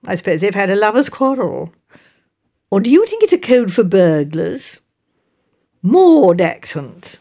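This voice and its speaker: A woman's voice: medium pitch, big pitch swings, measured pace, long pauses, dark tone. A woman voicing a chatty, strong-willed middle-aged English mother in a village, with decided, exclaiming emphasis. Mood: astonished.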